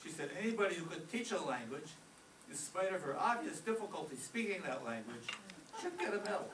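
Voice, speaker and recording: An actor playing an eager, cheeky, gossipy teenage high school boy in an old-time radio comedy, recorded from a distance in a roomy space. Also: big pitch swings, brisk, a bit bright. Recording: distant roomy stage pickup, faint noise.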